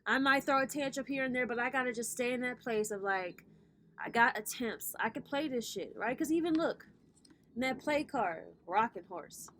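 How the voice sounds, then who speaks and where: A woman in her thirties with a slightly high voice talks straight to her viewers in a relaxed tarot reading. Her delivery is sassy and playful, full of casual swearing, as she coaches her audience on their spiritual path. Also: normal speed. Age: 30s.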